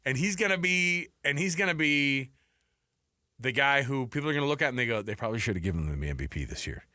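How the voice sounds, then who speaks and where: A man hosting a sports radio show, talking in animated, conversational banter and often posing rhetorical questions. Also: medium pitch, fast talker, no echo, clean studio sound. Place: radio studio. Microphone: close studio microphone.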